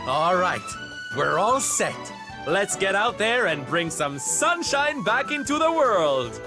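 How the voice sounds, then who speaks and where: A man's voice: sits high, big pitch swings, slow pace, over music, full character voice. An actor playing a flamboyant, kindly male circus entertainer in a video game, camp, dramatic and affectionate. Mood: delighted. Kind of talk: theatrical video game acting.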